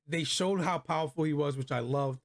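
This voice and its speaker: A man with an American accent and a voice a bit above average in pitch. He hosts a relaxed film review, speaking in loose slang with plenty of enthusiasm. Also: a little fast. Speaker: host of a movie review show. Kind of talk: casual chat, film review.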